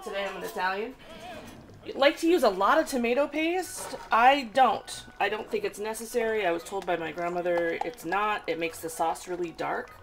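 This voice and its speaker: A woman with a gravelly edge to her voice walks through a home recipe as she films herself. She talks in a casual, instructive way, full of fillers. Music plays under her voice in the home kitchen. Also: mid-range voice. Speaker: home cook filming herself. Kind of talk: casual cooking demonstration. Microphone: handheld camera. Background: food sizzling in a pan.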